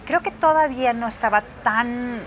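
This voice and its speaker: A woman's voice: on the high side, normal speed, slight background noise. A woman with a Mexican accent, co-hosting a podcast in casual chat, rambling and restarting her sentences as she recommends films. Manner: chatty, rambling, with many restarts and fillers.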